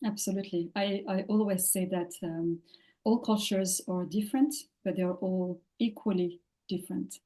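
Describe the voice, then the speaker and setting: Woman, warm mellow tone; halting, reflective interview answers. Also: medium pitch, fairly even intonation. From France. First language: French. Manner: thoughtful, halting, many fillers and restarts. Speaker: podcast guest, leadership coach. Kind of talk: interview conversation.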